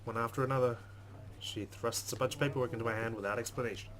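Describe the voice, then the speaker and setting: A man's voice: mid-range voice, fairly even intonation, quick pace, fairly bright tone. A man reading a visual novel aloud as a let's play host, slipping in his own asides.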